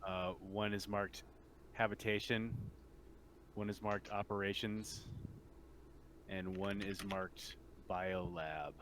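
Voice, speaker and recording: A man with a fairly low voice runs a tabletop roleplaying game. He narrates and answers players casually, with slight noise in the background. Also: steady tone, slow pace, long pauses, fairly bright tone. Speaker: game master.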